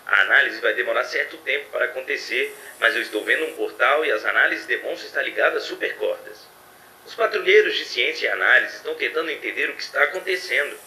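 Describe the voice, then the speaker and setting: A man's voice: mid-range voice, pushed and bright, recorded from a distance, a little hiss or hum, poor audio. A man playing an earnest, self-doubting artificial intelligence, giving formal reports in an audio drama.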